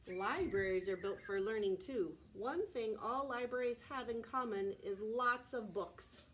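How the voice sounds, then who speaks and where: Woman, preschool teacher, slow deliberate delivery, child-directed read-aloud, prompting questions, noticeable background noise. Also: a little high, fairly bright tone. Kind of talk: read-aloud and sing-song circle time for young children.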